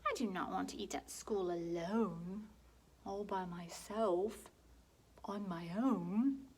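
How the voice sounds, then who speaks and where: A woman's voice: medium pitch, takes it slowly, darkish tone, breathy edge. A woman reading aloud and voicing a fussy, stubborn little girl in a storybook, with an insistent, sing-song delivery. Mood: worried.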